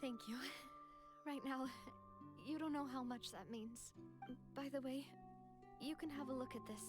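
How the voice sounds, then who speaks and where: High-voiced sincere young noblewoman. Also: unhurried, music in the background. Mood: sorrowful. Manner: polite, earnest. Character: young noblewoman leader, sincere and grieving, resolute. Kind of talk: English game voice acting.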